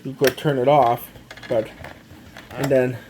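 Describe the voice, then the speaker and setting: A man gives a casual, halting, step-by-step product walkthrough, often repeating himself. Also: medium pitch, flat delivery, a little mellow, a little hiss or hum. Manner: halting, repetitive, step-by-step explaining. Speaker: amateur gadget demonstrator.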